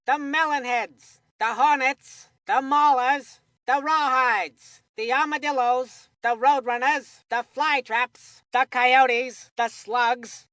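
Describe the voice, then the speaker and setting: A woman voicing an excitable, folksy cartoon baseball commentator for a kids' sports video game. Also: sits high, no room sound, studio quality, heavily acted. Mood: announcing.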